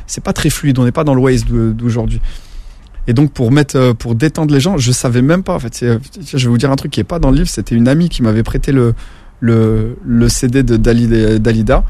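Mellow-voiced man, chatty and rambling. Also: medium pitch, fairly quick, no room sound. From France. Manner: rambling, restarts often, slangy. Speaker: guest, former taxi driver. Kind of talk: casual interview talk.

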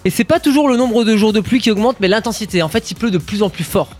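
Brief: high-pitched male radio co-host, casual explainer, faint music bed. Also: animated, fast talker, darkish tone. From Alsace, France. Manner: chatty, explains figures with asides. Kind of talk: casual morning radio chat.

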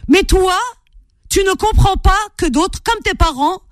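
A woman's voice: very high-pitched, a little slow, no echo, clean studio sound. A woman hosting a call-in radio debate, arguing insistently and cutting in on her caller. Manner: argumentative, interrupting, insistent. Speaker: radio host.

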